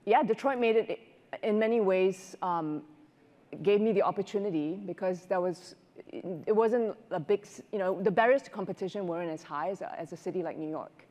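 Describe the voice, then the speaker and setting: A middle-aged woman from Singapore answering conversationally as a panelist, halting as she thinks aloud, on a lapel mic on a large stage. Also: mid-range voice, a little mellow. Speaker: panelist, restaurateur and business owner. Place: large indoor conference stage. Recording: echoey auditorium stage, long reverb.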